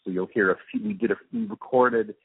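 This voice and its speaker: A man being interviewed, giving reflective, halting answers as he thinks aloud through technical explanations. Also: medium pitch, very animated, gravelly, slight background noise. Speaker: interviewee, radio drama post-production supervisor. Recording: muffled narrow phone-like line.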